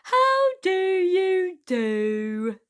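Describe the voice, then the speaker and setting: A woman voicing a polite, genteel dragon in a storybook, with effusive, drawn-out exclamations. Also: extremely high pitch, big pitch swings, a bit bright, pitched above the performer's own voice, heavily acted. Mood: delighted, welcoming. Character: big, polite, genteel dragon hosting unexpected visitors.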